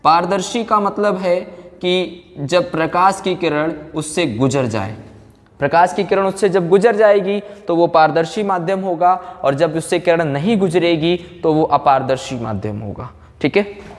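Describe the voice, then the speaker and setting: A man's voice: a little high, unhurried. A man teaching a physics class like a lecturer, asking questions and answering them himself. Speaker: science teacher. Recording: very reverberant room, background noise.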